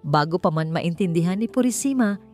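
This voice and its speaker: A woman's voice: lowish voice, expressive, a little fast, a little mellow, soft background music. A woman with a Filipino accent narrating a dramatic audiobook story from a script.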